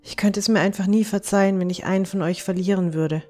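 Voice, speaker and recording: A woman's voice: medium pitch, steady tone, fast, darkish tone, faint music behind, lightly acted. An actress playing a sincere, caring teenage dwarf girl in a fantasy audio drama, subdued in manner. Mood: worried, tender.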